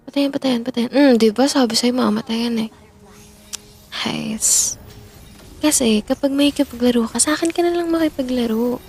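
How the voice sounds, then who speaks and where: A woman's voice: fairly high pitch, moderate pace, pauses often, a little mellow, faint music behind, natural voice. A woman performing an audio roleplay as a playful young best friend who teases, giggles and backtracks when flustered. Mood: teasing. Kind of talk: audio roleplay, chatting while gaming.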